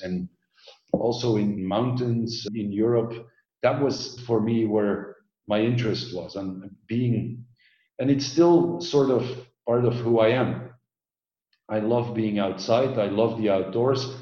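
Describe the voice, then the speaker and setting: A middle-aged man from Belgium who heads an environmental agency, answering interview questions in a measured, explanatory way with frequent filler sounds. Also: fairly low pitch, a little mellow, roomy sound, highs cut off.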